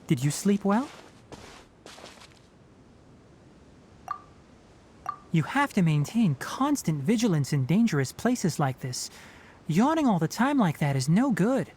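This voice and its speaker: A man's voice: sits high, big pitch swings, moderate pace, a little mellow, slight background noise. A man playing a young, knowledgeable forest ranger in a video game, instructive and slightly wry. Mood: mildly scolding. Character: young male forest ranger, knowledgeable, dry, gently strict. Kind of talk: video game character acting.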